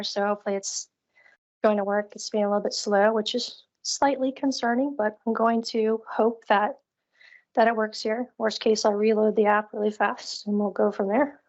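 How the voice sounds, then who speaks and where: High-pitched woman, upbeat, on a dull call recording. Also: fairly even intonation, moderate pace. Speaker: community call co-host and demo presenter. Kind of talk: casual live screen-share presentation. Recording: dull, lo-fi online call audio.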